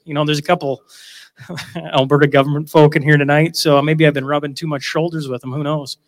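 Guy moderating a panel, kinda high voice, joking around, big echoey hall. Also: a bit bright. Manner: chatty, loose and joking. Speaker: event moderator. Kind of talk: live panel introduction. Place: large hall with a live audience. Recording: long hall reverb.

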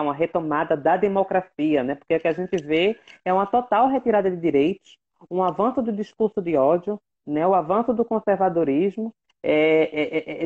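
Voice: mid-range voice, phone-quality sound. A guest speaking about their work in an impassioned, casual way, repeating phrases for emphasis. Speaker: guest, works at a state legislative assembly. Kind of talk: casual online conversation.

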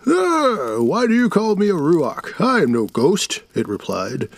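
A man's voice: high-pitched, wildly swooping pitch, measured pace, vocal fry, no room sound, voice pushed up, exaggerated voice. A man voicing an ancient, menacing cursed ghoul who shrieks and hisses in whispers. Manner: shrieking, then hissing whispers.

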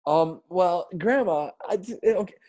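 A man's voice: high-pitched, normal speed, dark tone. A man playing a flustered, stammering young man. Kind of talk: improvised audio comedy.

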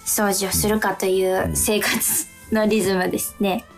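A woman's voice: mid-range voice, flat delivery, a little slow, vocal fry, faint music behind. A woman co-hosting a radio show, chatting casually in a polite, responsive way. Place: remote recording from home.

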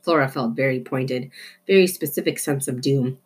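A woman reading a children's novel aloud with expressive, playful emphasis. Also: a bass voice, normal speed, husky. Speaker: reader.

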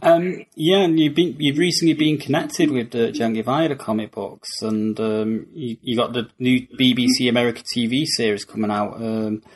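Husky, hesitant male host. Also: medium pitch, steady tone, moderate pace, hardly any pauses. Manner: hesitant, many ums and restarts. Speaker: podcast host. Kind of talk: casual interview hosting.

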